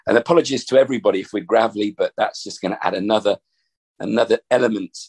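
Gravelly, husky man hosting a podcast. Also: lowish voice, very fast, darkish tone. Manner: thoughtful, rambling with restarts. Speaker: podcast host. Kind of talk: casual reflective chat. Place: upstairs room in family home.

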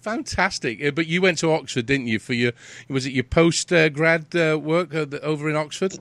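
A man's voice: fairly high pitch, moderate pace, a bit bright. A British man hosting a casual interview, rambling, repeating words and restarting sentences. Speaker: host.

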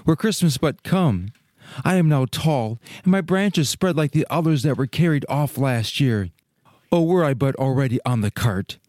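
A man's voice: medium pitch, expressive, normal speed, a little mellow, no echo, clean studio sound, lightly acted. A man playing a young fir tree in a storybook, restless and yearning, speaking in earnest exclamations and questions. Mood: impatient longing. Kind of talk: dramatic storybook reading.

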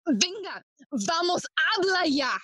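A slow, sardonic young prince Hamlet. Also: female voice, extremely high pitch, big pitch swings, pushed and bright, no echo, exaggerated voice. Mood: probing. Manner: theatrical, mocking, switches between English and Spanish. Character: Prince Hamlet, young man, sardonic, melancholy, feigning madness. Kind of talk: classical Shakespearean stage acting for audio play.

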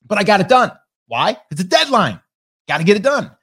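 A man in his late forties gives an easygoing motivational coaching talk. His voice sits a little high for a man. He keeps asking his listeners "okay?" and "right?" as he goes. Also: sing-song, a little fast, a bit bright, husky. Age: 40s. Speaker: marketing coach hosting a coffee talk.

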